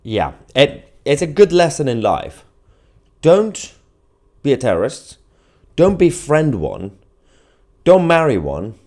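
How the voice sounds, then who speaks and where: A man's voice: fairly high pitch, darkish tone. A man hosting a live news commentary show, speaking to viewers in a sardonic, mocking and emphatic way. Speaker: host of online political news show.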